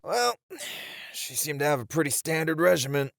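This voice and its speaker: Playful, unflappable male ninja voiced by a man for ASMR audio drama; animated swings in pitch, gravelly fry, close dry sound. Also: moderate pace, no room sound. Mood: musing. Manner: teasing, reassuring, animated.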